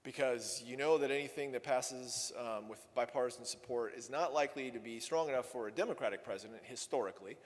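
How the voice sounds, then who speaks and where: A man's voice: mid-range voice, fairly quick, hardly any pauses. A man giving a conversational, wry conference talk on policy, often correcting himself mid-sentence. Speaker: energy policy speaker. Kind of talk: conference presentation with Q&A. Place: conference hall. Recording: long hall reverb.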